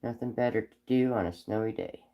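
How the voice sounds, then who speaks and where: Man, raspy from a cold, live-stream host, rambling casual chat, soft-spoken. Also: lowish voice, a little fast. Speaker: livestream host whittling wood.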